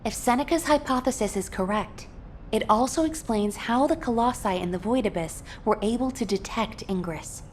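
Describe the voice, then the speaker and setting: A woman playing a composed military commander in a video game, giving formal, serious, measured briefings. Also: a little high, darkish tone, slight background noise, lightly acted. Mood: grave, businesslike. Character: composed adult female military mission commander briefing a soldier. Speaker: mission briefing character. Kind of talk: scripted game briefing dialogue.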